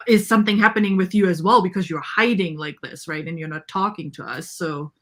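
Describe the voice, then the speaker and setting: A woman's voice: on the low side, brisk, fairly bright tone. Woman chatting on a book panel. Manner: agreeable, emphatic, often says "right?" to check. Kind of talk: casual book discussion on a live show.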